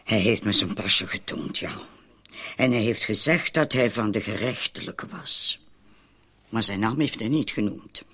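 A husky, excitable madam, acted by a man in a radio play. The voice swings widely in pitch, with a fretful, exasperated edge. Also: unhurried, exaggerated voice. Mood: uneasy chatty. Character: anxious, exasperated madam of a private club. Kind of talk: radio drama acting.

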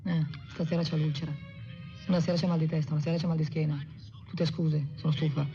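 An actress playing a fed-up, bickering working-class wife who scolds and repeats herself snappishly in film dialogue. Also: female voice, deep voice, flat delivery, fast talker, mellow. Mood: exasperated. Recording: muffled film soundtrack with music behind.

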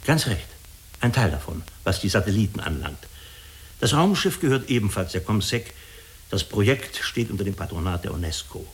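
A man playing a diplomatic, persuasive agency official in a German radio drama, speaking formally and deliberately with small hesitant pauses. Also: on the low side, animated, lightly acted. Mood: explanatory, diplomatic. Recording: clearly hissy radio recording.

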